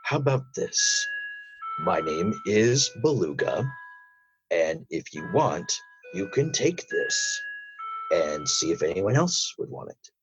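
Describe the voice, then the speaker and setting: A man voicing a gruff, husky whale interrogator with a stern, deliberate manner in an improvised audio drama. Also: huge pitch swings, music in the background, full character voice, rough recording. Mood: offering, friendly. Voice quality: husky, low. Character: gruff whale interrogator hunting crab drug smugglers.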